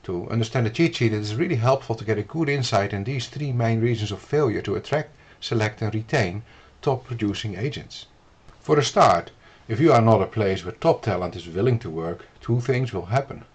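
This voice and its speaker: Dutch-accented man, low-pitched business coach. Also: expressive, husky. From Netherlands. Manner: earnest, measured, lecturing to the viewer. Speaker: business consultant. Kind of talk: instructional video explainer.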